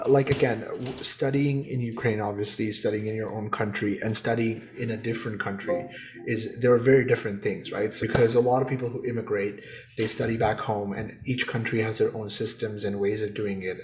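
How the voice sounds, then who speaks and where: A young adult man hosting a podcast interview in a relaxed, casual way, with frequent fillers. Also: on the low side, slight background noise. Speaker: podcast host.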